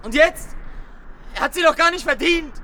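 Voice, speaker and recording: An actor playing an agitated, cornered soldier in a radio drama, bitter and defiant, blurting coarsely in short bursts. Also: male voice, very high voice, animated, normal speed, bright, forceful tone, slight background noise, exaggerated voice. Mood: bitter, desperate. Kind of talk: naturalistic German radio drama acting.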